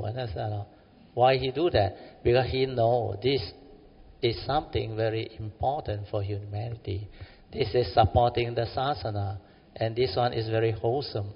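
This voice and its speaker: A man's voice: mid-range voice, husky, long reverb tail, muffled recording. A man with a Malaysian English accent giving a Buddhist dharma talk in a meditation center, colloquial and exhorting, often tagging "huh?" onto his sentences. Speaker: Buddhist teacher.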